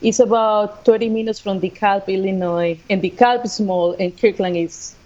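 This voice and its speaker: A woman's voice: medium pitch, fairly even intonation, moderate pace, mellow, highs cut off. A woman with a Costa Rican Spanish accent, a native Spanish speaker, telling her own story conversationally as an interview guest. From Costa Rica. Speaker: guest, assistant professor of sports management.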